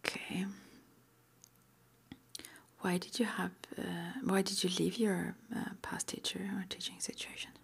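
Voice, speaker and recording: A woman whispering an ASMR roleplay interview, playing a witch school interviewer with gentle, reassuring, hesitant phrasing. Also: mid-range voice, flat delivery, unhurried, gravelly, long pauses, slightly rough.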